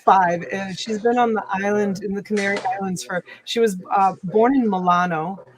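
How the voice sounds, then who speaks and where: A woman's voice: mid-range voice, fairly even intonation, normal speed, crowd noise, rough recording. A woman, hosting a casual live chat with many fillers and restarts. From Italy. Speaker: livestream host.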